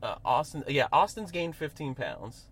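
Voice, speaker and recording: A man's voice: a little high, big pitch swings, moderate pace, a bit bright. A man co-hosting a casual sports podcast, hesitant, often stammering and restarting his sentences. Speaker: podcast co-host.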